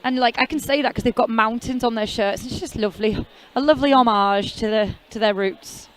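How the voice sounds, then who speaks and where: A woman's voice: a little high, fairly quick, rough recording. A woman commentating roller derby live, chatty and jokey, with rambling asides. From half Welsh, never lived in Wales. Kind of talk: casual live sports commentary.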